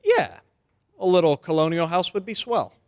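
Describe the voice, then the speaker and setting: A man performs an amiable young lawyer for an old-time radio drama. The voice is a little high for a man. The delivery is casual and modest, in a 1940s everyman manner. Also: expressive, moderate pace. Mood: hopeful.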